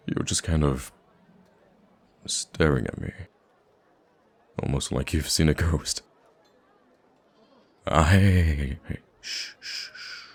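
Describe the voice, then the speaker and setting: Man acting a very deep, gravelly, gentle prince. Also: full character voice. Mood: hushed, urgent. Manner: hesitant, gentle, halting with sighs and stammers. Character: soft-spoken wounded young prince, wistful, gracious, secretly roaming a market. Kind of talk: ASMR roleplay acting.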